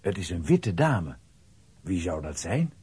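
A man with a husky voice narrating a scripted children's audio play as storyteller. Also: low-pitched, very animated, measured pace, dark tone.

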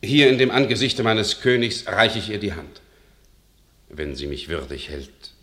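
A deep-voiced devoted knight. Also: male voice, a little slow, pushed and bright. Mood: earnest. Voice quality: earnest, grave. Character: devoted noble knight, earnest suitor. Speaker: actor in a radio play. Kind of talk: classic verse radio drama.

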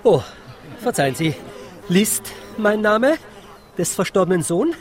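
A polite, flustered brother trying to keep the peace. His imploring voice swings widely in pitch and moves slowly through rhymed radio drama lines. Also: sing-song, a faint crowd. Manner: placating, courteous, imploring. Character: flustered, pleading, polite adult son and brother.

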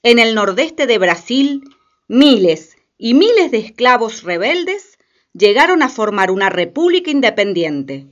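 A woman's voice: a little high, sing-song, unhurried, dull audio. A woman reading scripted narration for a radio play. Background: faint music.

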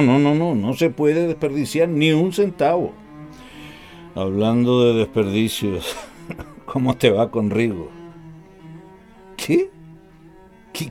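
A man's voice: mid-range voice, darkish tone. An actor playing a haughty, scornful middle-aged businessman in a radio drama, bossy and condescending. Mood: businesslike, jovial.

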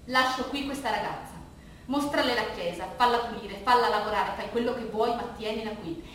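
A woman's voice: on the high side, fairly quick, fairly bright tone, close to an everyday voice. A woman playing a brusque, decided woman in a theatrical monologue, giving curt repeated orders. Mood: curt, firm. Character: brusque, decided woman handing over a charge. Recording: echoey room, distant mic, faint noise.

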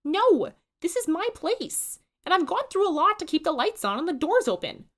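A woman's voice: very high voice, sing-song, normal speed, a bit bright, pitched above the performer's own voice, exaggerated voice. A woman voicing a middle-aged diner owner with a rich Southern drawl, earnest and emotional. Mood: defiant.

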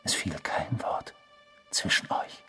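A cruel, sinister alter ego in a radio play, taunting and insinuating. The delivery is theatrical and mocking, at times dropping to a hush. Music plays behind the male voice. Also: slow. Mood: coldly accusing. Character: sinister, taunting tormentor, a man's cruel inner self. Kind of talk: German radio drama acting.

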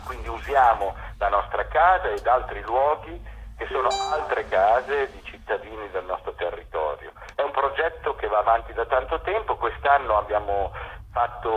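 A man interviewed on radio as a theatre director, speaking deliberately and building his thoughts in lists. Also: on the low side, a bit bright, a little hiss or hum, poor audio.

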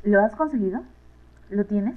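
A woman's voice: mid-range voice, lively intonation, moderate pace, natural voice. A woman playing a bossy, scheming, menacing female villain in an amateur radio play. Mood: conspiring. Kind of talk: amateur Spanish-language radio play acting. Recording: tinny phone-like lo-fi recording.

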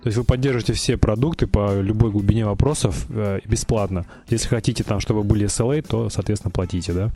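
A man's voice: on the low side, steady tone, fast talker, hardly any pauses, dark tone, faint music behind. A man co-hosting a podcast and putting follow-up questions to the guests. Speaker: podcast co-host.

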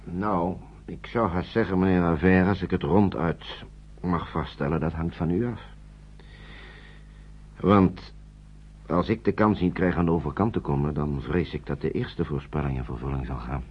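An actor playing an earnest, persuasive man protecting children in wartime, speaking deliberately in a Dutch radio play. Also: sits low, slightly rough. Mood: earnest, measured. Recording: dull old radio play recording.

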